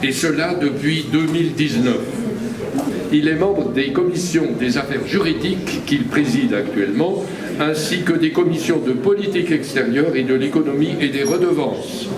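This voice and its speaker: A man introduces a guest at a conference, reading each item in a measured, formal way. His voice is a bit high and sounds far from the mic. It carries through an echoing hall with clear room noise behind it. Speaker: conference president introducing the speaker. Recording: distant mic in an echoey hall with audible ambience.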